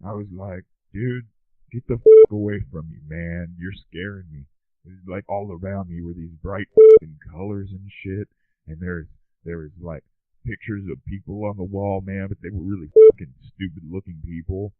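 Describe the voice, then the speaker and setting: A man's voice: sits low, moderate pace, dry recording. A man playing a clueless, foul-mouthed stoner dude who rambles in slang through a comic phone-in story. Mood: bewildered. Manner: slangy, rambling, says "dude" and "bro" constantly. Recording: tinny lo-fi telephone line.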